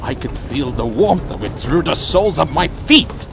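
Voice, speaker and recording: A man plays a defiant vampire warrior of an audio drama, gravelly and high-strung in a raised voice. He delivers his tale slowly and gravely, with theatrical weight, over music. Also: sing-song. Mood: grave narration. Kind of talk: dramatic first-person narration and acted dialogue.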